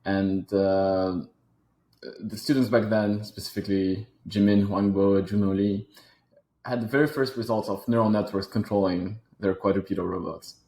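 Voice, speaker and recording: A man's voice: on the low side, fairly even intonation, normal speed, dark tone, echoey room, low-quality recording. A man in his thirties from Switzerland, a native French speaker with a French accent, speaking as a guest in a casual interview. He talks thoughtfully and often repeats words while he searches for the next phrase. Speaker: guest, robotics company founder.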